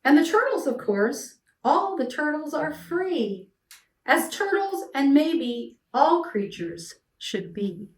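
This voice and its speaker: A middle-aged woman reading a rhyming children's picture book aloud as an expressive storyteller. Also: high voice, takes it slowly. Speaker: storyteller, read-aloud host. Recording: echoey room, distant mic.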